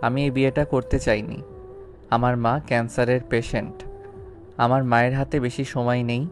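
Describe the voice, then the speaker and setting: A man's voice: mid-range voice, level tone, fairly quick, noisy background, lightly acted. A man playing a blunt, reluctant schoolteacher groom in an audio drama, speaking in a firm, lecturing way. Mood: resigned, explaining. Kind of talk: Bengali audio story acting.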